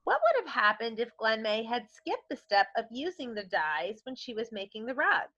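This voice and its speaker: A woman speaks as an elementary teacher, posing questions and explaining things to children. Her voice is bright and fairly high, with lots of melodic movement. The sound is slightly dull and lo-fi. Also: very animated, normal speed. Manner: explanatory, addressing young children directly. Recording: dull lo-fi recording.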